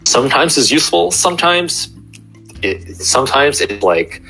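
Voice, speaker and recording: A man's voice: mid-range voice, very animated, moderate pace, sharp tone, faint music behind, low-quality recording. A young adult man, a chiropractor, explaining his work in a casual interview with fillers and restarts. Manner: explains with everyday examples, many fillers and restarts.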